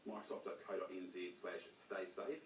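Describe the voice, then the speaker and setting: A man's voice: low voice, little pitch movement, normal speed, reverberant, recorded from a distance, slight background noise. A man speaking as a company spokesman, giving plain-spoken, explanatory advice in an interview.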